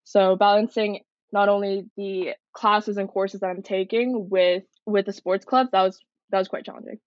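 A woman's voice: mid-range voice, steady tone, normal speed, no echo, highs cut off. A young woman from Canada, a military cadet, answering interview questions in an earnest, reflective way. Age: young adult.

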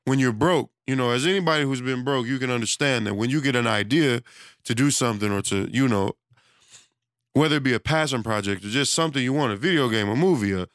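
A man's voice: mid-range voice, fast, a bit bright, smooth, no room sound. A man, self-employed with a studio, talking in a loose, rambling casual monologue, and losing his voice. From United States. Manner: loose, rambling, conversational, with many restarts and fillers.

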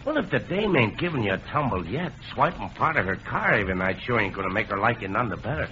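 A grumbling small-time tough guy from an old radio play. His voice is sharp and animated, full of slangy bad grammar, on a muffled recording. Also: mid-range voice, quick pace, slight background noise. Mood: uneasy. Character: grumbling, touchy small-time crook sidekick. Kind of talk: 1930s radio comedy acting.

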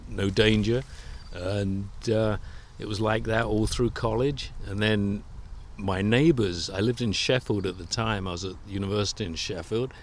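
Older English man, low self-mocking storyteller. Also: a little hiss or hum. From England. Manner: self-deprecating, chuckling anecdotes. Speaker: guest author. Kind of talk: relaxed storytelling interview.

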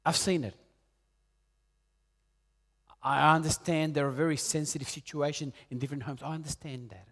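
A man in his late forties from Peru, preaching a sermon in an earnest way, rising loud on key points and dropping soft and low. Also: fairly even intonation, normal speed, gravelly, pauses often. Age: 40s. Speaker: pastor.

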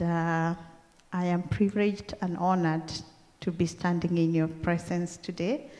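A middle-aged woman with a Kenyan accent, preaching a church sermon in a slow, deliberate, earnest way. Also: lowish voice, a little mellow. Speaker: guest preacher. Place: large church hall. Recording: long church-hall reverb.

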